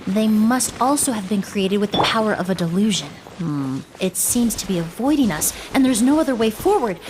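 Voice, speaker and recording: An actress playing a composed, analytical young woman investigator in a fantasy video game, speaking in a formal, measured, articulate way. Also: medium pitch, lively intonation, background noise, lightly acted. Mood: pensive. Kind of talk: scripted video game voice acting.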